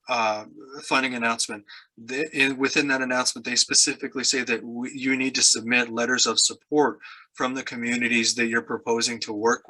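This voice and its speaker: A middle-aged man answering audience questions at a conference over a video call, explaining slowly with many fillers. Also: medium pitch, pushed and bright, low-quality recording. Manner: measured, explanatory, with frequent fillers. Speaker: government research office official, speaker. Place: indoors, virtual background.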